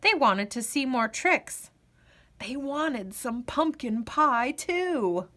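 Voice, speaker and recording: A woman reading a children's storybook aloud as a storyteller, in a deliberate, dramatic, expressive way. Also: sits high. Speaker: storyteller, host of a read-aloud show.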